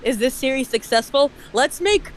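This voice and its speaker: A man's voice: extremely high pitch, expressive, moderate pace. A man talks casually in a joking way. His speech is conversational and informal. Faint noise is audible behind him. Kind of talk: casual chat.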